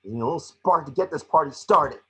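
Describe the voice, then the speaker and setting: A man voicing a small, scrappy, wisecracking creature detective with a husky voice in an amateur script reading. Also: fairly high pitch, animated, fast, sharp tone, heavily acted. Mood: determined. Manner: wry, muttering to himself then exclaiming. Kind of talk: amateur online table read of a film script.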